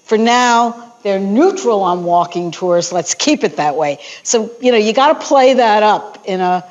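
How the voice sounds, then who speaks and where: A woman's voice: medium pitch, measured pace. A talkative woman on a conference panel, trading walking-tour advice and sprinkling "you know" everywhere. Her voice has a slightly dull, muffled sound to it. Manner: animated, chatty, many "you know" fillers. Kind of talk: panel discussion.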